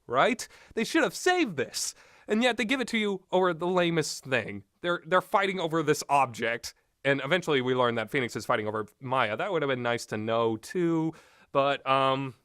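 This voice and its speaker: A man's voice: fairly high pitch, fairly bright tone, no room sound, clean studio sound. A man giving rambling, informal and opinionated commentary on games, speaking off the cuff with emphatic repetition. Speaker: commentator reviewing visual novel games.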